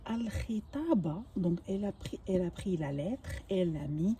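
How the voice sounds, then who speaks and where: A woman's voice: on the low side, lively intonation, normal speed, mellow, a little hiss or hum. An older woman whose first language is Arabic, teaching a patient language lesson and explaining each word with small restarts. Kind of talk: home-made language lesson.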